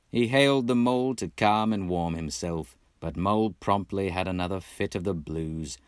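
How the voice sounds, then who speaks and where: A man's voice: on the low side, dry recording, clean studio sound. A man from Texas reading a classic storybook expressively as narrator, in a put-on British accent. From Texas, United States. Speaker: amateur audiobook reader.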